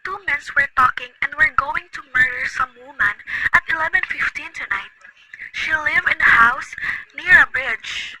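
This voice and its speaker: A woman acting a nervous, bedridden, wealthy woman who talks in a shrill, agitated, imperious way in an amateur radio play. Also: moderate pace, natural voice. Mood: anxious. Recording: loud noisy recording.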